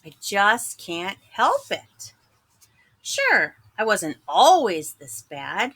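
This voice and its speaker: A woman voicing a small, glum yet earnest bad sunflower seed in a picture book, confiding and dramatic with drawn-out emphasis. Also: mid-range voice, bright, forceful tone, exaggerated voice. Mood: wistful. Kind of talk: picture book narration in first person.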